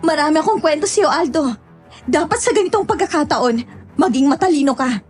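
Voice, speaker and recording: A fierce young village woman, as a woman acts her out, shouting defiance and pleading. Her voice is very high. Faint music runs underneath. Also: lively intonation, fairly quick. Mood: coaxing.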